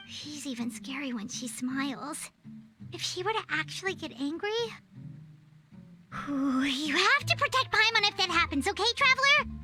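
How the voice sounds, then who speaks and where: A woman voicing a small, childlike fairy companion in a video game, squeaky, anxious and chatty with an exclamatory manner. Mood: nervous. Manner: exclamatory, refers to self in third person.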